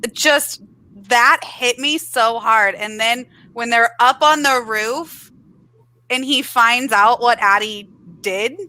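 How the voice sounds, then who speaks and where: Bright fairly high woman, expressive, laughy book club talk. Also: slow, dry recording. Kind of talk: casual book-club chat.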